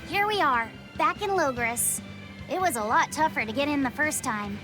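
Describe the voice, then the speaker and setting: A woman voicing a gentle, studious young boy in dubbed video game dialogue, earnest in manner. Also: high voice, animated, moderate pace, music in the background. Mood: shy, amused.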